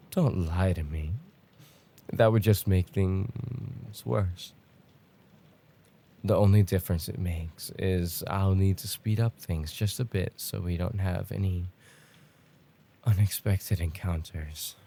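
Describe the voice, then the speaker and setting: A man playing a possessive, smugly menacing stalker in an ASMR roleplay, taunting in a mock-tender, unhurried way with a gravelly, husky voice. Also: low voice, warm tone, full character voice.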